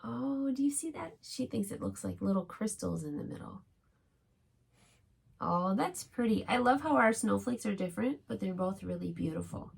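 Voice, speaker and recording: A woman with a husky, creaky voice leads a children's craft demonstration. She talks in short, simple steps and offers encouragement like a preschool teacher. Her pitch sits in an ordinary middle range for a woman. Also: animated, normal speed. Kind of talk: gentle step-by-step craft demonstration for young children.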